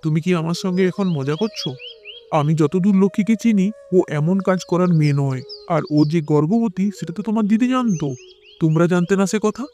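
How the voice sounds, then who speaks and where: A man's voice: high voice, moderate pace, warm tone, natural voice. A man playing a kindly, caring married brother-in-law in a cartoon story. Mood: puzzled. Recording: music bed behind the voice.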